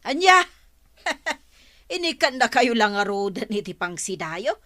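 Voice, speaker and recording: Nosy village storekeeper, Ilocano accent, slow and swooping, radio comedy. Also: female voice, fairly high pitch, big pitch swings, fairly bright tone, heavily acted. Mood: gossipy.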